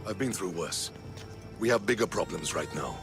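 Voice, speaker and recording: An actor playing a stern, battle-worn Spartan officer in a video game, guarded and commanding. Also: male voice, low-pitched, expressive, moderate pace, over music. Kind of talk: dramatic video game cutscene acting.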